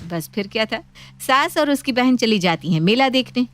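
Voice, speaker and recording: A woman's voice: a little high, animated, moderate pace, a bit bright. A woman storyteller reading scripted narration for a moral story. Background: background music.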